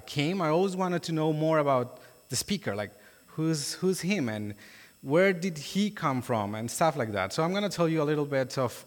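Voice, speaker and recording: A man's voice: on the high side, a bit bright. Colombian man preaching in a reverberant hall. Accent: Colombian Spanish. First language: Spanish. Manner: informal, halting, many fillers and restarts. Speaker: guest preacher. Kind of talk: sermon testimony. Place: church sanctuary.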